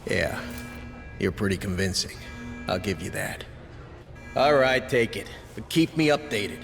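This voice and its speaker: Low, gruff military crewman, echoing hall. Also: lively intonation, unhurried, fairly bright tone, faint music behind, heavily acted. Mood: grudging. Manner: folksy, blunt. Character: gruff, wry, practical male military quartermaster.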